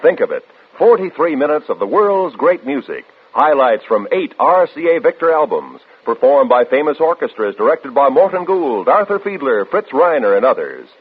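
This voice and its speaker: A man's voice: medium pitch, sing-song, moderate pace, husky. An American man reading a scripted 1950s radio commercial in an emphatic, salesmanlike way. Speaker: commercial announcer. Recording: tinny old radio broadcast.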